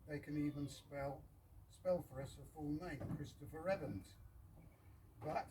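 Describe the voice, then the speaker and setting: Man with a lightish, higher voice, informal poetry recital in short measured phrases, picked up from a distance. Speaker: reader of a poem at a birthday gathering. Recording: distant mic with clear background noise.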